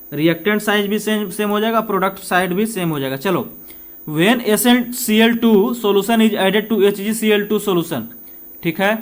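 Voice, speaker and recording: A man giving an informal exam coaching lesson, talking conversationally, asking and answering his own questions and mixing in English. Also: high voice. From India. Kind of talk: informal lesson working through practice questions.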